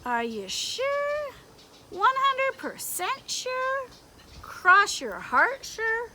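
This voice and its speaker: Lady doing a naggy storybook conscience, high sharp voice, bossy n scolding, some outdoor noise. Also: huge pitch swings, very slow, exaggerated voice. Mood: mocking scolding. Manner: stern, mocking, interrogating.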